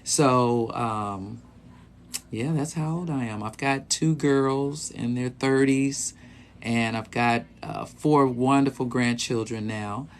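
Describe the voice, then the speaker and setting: A man's voice: mid-range voice, slow pace, a little hiss or hum. A man in his late fifties who hosts a live stream as a sexologist, chatting casually with viewers in an easygoing, rambling way with lots of ums. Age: 50s. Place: small bedroom closet.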